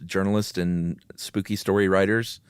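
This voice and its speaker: A man's voice: deep voice, little pitch movement, moderate pace, clear, resonant tone, dry recording, studio quality. A man hosting a podcast in an easygoing way, moving between casual banter and dramatic story reading. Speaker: podcast host reading horror stories aloud.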